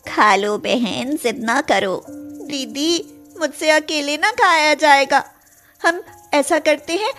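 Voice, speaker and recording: A woman's voice: high voice, expressive, slow, a bit bright, soft background music, exaggerated voice. A woman voicing poor, innocent young sisters in a childlike, earnest manner for a children's story. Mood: tender, earnest.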